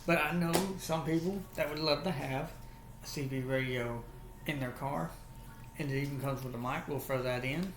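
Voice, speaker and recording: A man's voice: mid-range voice, steady tone, moderate pace. A man with a Southern US accent casually showing and explaining an item as a video host, in a folksy, repetitive way. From United States, Alabama. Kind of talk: casual vlog product show-and-tell. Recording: echoey room with faint background noise.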